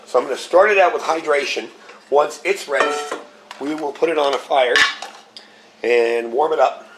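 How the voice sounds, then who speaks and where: A man's voice: a little high, normal speed. Man with a scratchy voice demoing food. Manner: relaxed, explains step by step while handling gear. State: slightly scratchy voice from a cold. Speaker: channel host. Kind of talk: casual cooking demo vlog.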